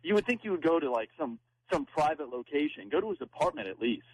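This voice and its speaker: A man confronting his partner on a radio show, halting and accusatory, often repeating his words. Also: on the high side, big pitch swings, darkish tone, dry recording, poor audio.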